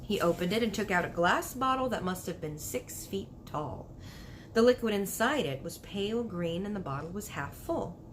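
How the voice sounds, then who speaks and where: Animated woman reading a storybook. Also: medium pitch, moderate pace, slight background noise. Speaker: storybook reader. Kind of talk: expressive children's book read-aloud.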